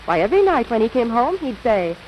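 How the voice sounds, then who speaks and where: Gushing, animated radio storyteller. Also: female voice, a little high, fast talker. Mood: gushing, confiding. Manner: gushing, theatrical storybook delivery. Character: chatty woman telling a soap sales tale like a bedtime story. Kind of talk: 1940s radio advertising storytelling. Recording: noisy old radio broadcast recording.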